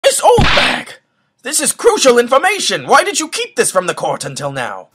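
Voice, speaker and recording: A man's voice: very high-pitched, wildly swooping pitch, normal speed, bright, forceful tone, faint music behind, exaggerated voice. A man voicing a flustered, formal older judge who exclaims his questions in an amateur courtroom fan dub. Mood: startled.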